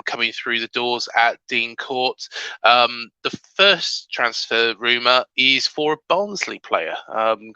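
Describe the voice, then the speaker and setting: Bright, slightly croaky man hosting. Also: mid-range voice, dry recording, rough recording. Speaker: host of a football fan show. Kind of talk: casual transfer-rumour commentary to camera.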